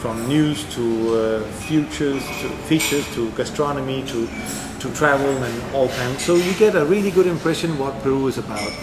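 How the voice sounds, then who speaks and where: Middle-aged Danish-born man, mid-pitched, rambling guest with lots of fillers. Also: normal speed, few pauses, heavy background noise. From Denmark. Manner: rambling, with many fillers and restarts. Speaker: interview guest. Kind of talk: casual interview answers.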